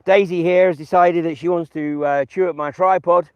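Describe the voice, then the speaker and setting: A British man giving an informal political monologue to camera outdoors in a field, hesitant and wry, with emphatic pauses. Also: on the high side, fairly even intonation, clear, resonant tone, no room sound, dull audio. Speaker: vlogger and political commentator. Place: outdoors in a farm field by a public footpath.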